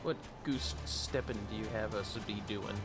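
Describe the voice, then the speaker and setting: A man's voice: fairly low pitch, normal speed, loud music behind the voice. A man streaming a game with casual commentary, half talking to himself and sounding a little tired. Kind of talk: casual livestream commentary while playing.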